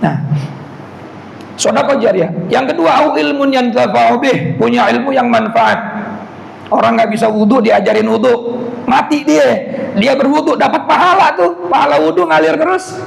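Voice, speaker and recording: A hoarse man, preaching a casual, joking Quran lecture and often asking his congregation to agree. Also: extremely high pitch, normal speed. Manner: joking, asks the audience "betul nggak?". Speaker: preacher giving a Quran commentary class. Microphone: speaker system. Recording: echoey hall with faint noise.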